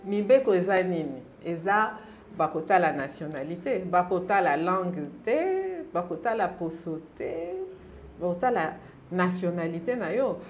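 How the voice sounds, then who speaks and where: A woman's voice: fairly low pitch, unhurried, slight background noise. A middle-aged woman from the Congo, answering as a guest in a TV studio interview, insistent and gesturing as she talks. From Democratic Republic of the Congo. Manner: animated, gesturing with her hands, insistent. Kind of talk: studio interview, mixing French and Lingala. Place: TV studio, indoors.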